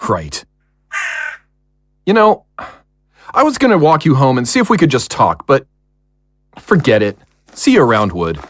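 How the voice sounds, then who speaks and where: Man acting an earnest, animated young man. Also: mid-range voice, a little slow, long pauses, dry recording. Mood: hurt, sullen. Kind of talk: audio drama acting.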